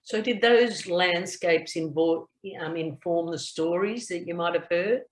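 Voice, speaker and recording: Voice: medium pitch, a little slow. An interviewer asking friendly, encouraging questions in a conversational interview. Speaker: interviewer for a society. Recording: roomy echo.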